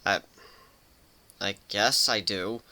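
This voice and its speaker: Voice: low voice, takes it slowly, bright, forceful tone, full character voice. A performer voicing a nervous, earnest male pegasus pony who stammers and hesitates in a dramatic story reading. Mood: bashful. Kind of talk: dramatic fan fiction reading.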